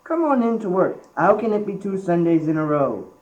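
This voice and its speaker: A woman's voice: lowish voice, sing-song, moderate pace, lo-fi sound, background noise. A young teenage girl playing an exasperated, blustering male mill boss in an amateur school radio play.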